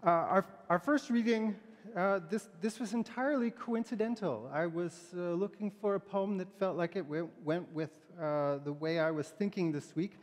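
A middle-aged man, a pastor giving a sermon and readings in a gentle, halting, informal way. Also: sits high. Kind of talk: sermon with poem and scripture readings, conversational. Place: large church sanctuary, indoors, at a pulpit. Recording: echoey church hall, long reverb.

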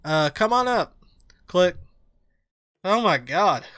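A man's voice: sits high, very animated, slow pace, a bit bright, no echo, clean studio sound. A man giving casual, joking commentary while playing a video game. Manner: joking, chatty. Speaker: let's play host.

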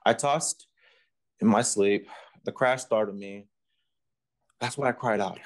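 A man's voice: lowish voice, measured pace, close to an everyday voice. A young man playing a tormented, haunted man in dramatic 1940s radio horror style. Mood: strained, defensive. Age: young adult. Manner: anguished, dramatic pleading. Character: tormented, haunted male concert pianist.

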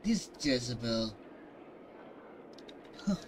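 A man's voice: medium pitch, lively intonation, very slow, noisy background. A man giving casual playthrough commentary as a gaming streamer, in short muttered reactions. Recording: game music behind the voice.

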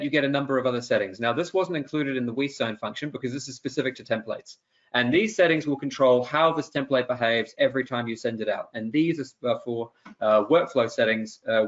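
A man's voice: medium pitch, a bit bright. A young man giving an informal, explanatory webinar walkthrough in a conversational way, with frequent ums. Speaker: webinar presenter giving a software demonstration. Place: indoor room, on a video call. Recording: dull, lo-fi webinar audio.

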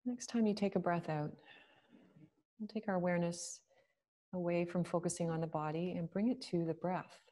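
Woman, meditation guide, slow soothing delivery, mellow low voice. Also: steady tone. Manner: slow, gentle, soothing, with long pauses.

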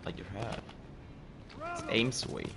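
A man's voice: on the low side, slow pace, vocal fry, background noise. A man chatting casually about a friend's gameplay, teasing him with dry quips. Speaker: co-host commenting on gameplay.

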